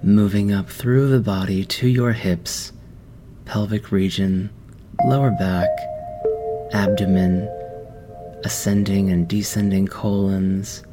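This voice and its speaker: A man narrating a guided meditation, giving gentle, soothing instructions with long pauses. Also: fairly low pitch, fairly even intonation. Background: background music.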